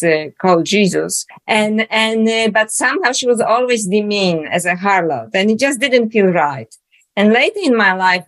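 A woman's voice: medium pitch, a bit bright. A woman from Poland with a Polish accent, speaking as a guest author in a podcast interview, reflective and searching, with many fillers and restarts.

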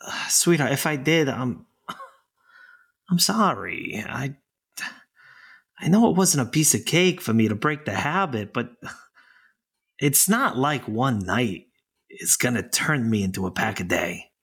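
An actor playing a hungover, irritable young boyfriend who is groggy, defensive and sarcastic. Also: male voice, medium pitch, animated, measured pace, pauses often, fairly bright tone, husky, full character voice. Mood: grumpy. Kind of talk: audio drama acting.

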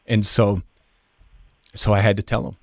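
Male podcast guest, fifties. Lower register, dry sound, thoughtful and halting personal storytelling. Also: level tone, a little mellow, no room sound. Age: 50s.

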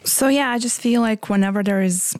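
A woman's voice: a little high, brisk, no echo. A woman in her forties from Croatia, speaking English with a Croatian accent, giving a reflective personal testimony in an interview.

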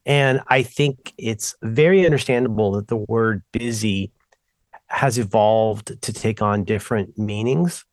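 A man's voice: on the low side, unhurried, no echo. A middle-aged man co-hosting a podcast, chatting casually and telling stories in a rambling way that keeps stopping and restarting itself. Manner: rambling, self-interrupting, animated storytelling. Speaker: podcast co-host. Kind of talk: casual chat.

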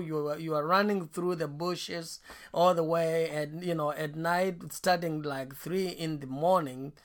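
A Kenyan man, a pastor guest, telling his life story in a halting way, with many restarts and fillers. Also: fairly high pitch. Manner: slow and halting, with many restarts and you knows. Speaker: pastor and ministry founder, guest. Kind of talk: interview storytelling.

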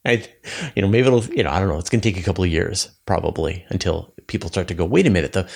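A man talking casually and enthusiastically as a podcast host, thinking aloud with emphatic points. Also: lowish voice. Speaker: podcast host and science news publisher.

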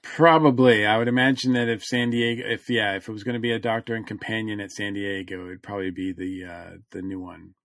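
A man co-hosting a podcast, chatting casually about fan news in a halting, hedging way. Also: lowish voice, level tone, a bit bright, no echo, clean studio sound. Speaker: podcast co-host.

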